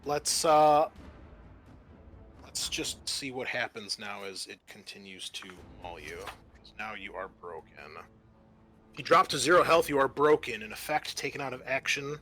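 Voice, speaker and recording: Bright-voiced man running a tabletop game. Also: medium pitch, lively intonation, a little slow. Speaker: game master. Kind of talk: running a tabletop roleplaying game, explaining rules. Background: background music.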